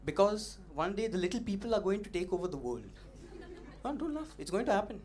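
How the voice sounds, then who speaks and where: A young man doing an open-mic stand-up set, punchy and animated, teasing the audience, on a stage mic. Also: high-pitched, brisk. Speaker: stand-up comedian. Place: comedy club stage. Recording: noisy live club recording.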